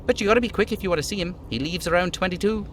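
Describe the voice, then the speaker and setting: A man's voice: high voice, rapid-fire, a bit bright, a little hiss or hum, voice pushed up. A man voicing a simple, friendly little dock worker from a video game, chattering in a naive, folksy way. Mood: eager, friendly.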